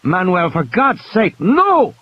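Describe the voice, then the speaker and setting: A man's voice: sits high, huge pitch swings, takes it slowly, highs cut off, pitched above the performer's own voice, exaggerated voice. A man voicing a determined woman doctor at a village clinic, earnest and probing, in an audio play. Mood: urgent. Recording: background hiss.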